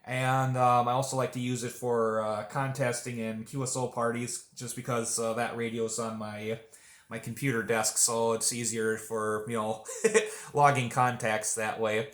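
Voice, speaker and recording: A man's voice: mid-range voice, flat delivery, a little slow, a bit bright, reverberant. A man chatting casually and at some length as an amateur radio operator on a contact. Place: indoors at a home radio desk.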